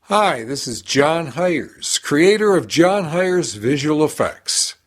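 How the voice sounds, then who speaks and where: A man with a fairly high voice and animated intonation records a promo testimonial. He speaks slowly, with faint music behind. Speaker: visual effects creator giving a testimonial. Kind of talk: short radio promo testimonial.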